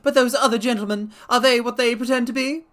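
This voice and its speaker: Shrill, reedy, panicky gentleman. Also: steady tone, quick pace. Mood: frightened, wary. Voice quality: reedy, quivering. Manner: agitated, jangled nerves. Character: panicky, reedy-voiced, very fat nervous gentleman. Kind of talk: dramatic reading of a Victorian detective story.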